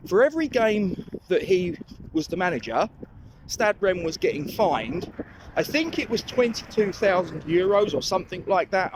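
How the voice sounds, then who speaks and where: A man's voice: fairly high pitch, slight background noise. Chatty London man on a dog walk. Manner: chatty, rambling, self-correcting. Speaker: fan channel host. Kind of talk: casual monologue while walking the dog.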